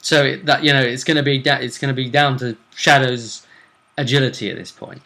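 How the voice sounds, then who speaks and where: A man with a bright, forceful voice hosts a relaxed chat about a fantasy game. His pitch sits in the middle range. He talks things up with a playful sense of drama. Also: fairly quick, gravelly. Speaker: host of a tabletop role-play vidcast. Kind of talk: casual tournament preview chat.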